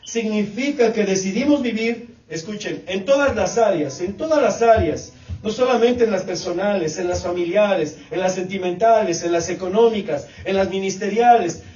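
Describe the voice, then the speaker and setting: Preacher, man in his late 40s, high voice, repetitive cadence; distant, dull recording. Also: normal speed, darkish tone, reverberant. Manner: repetitive preaching cadence, addresses congregation as brothers. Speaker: pastor. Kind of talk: sermon.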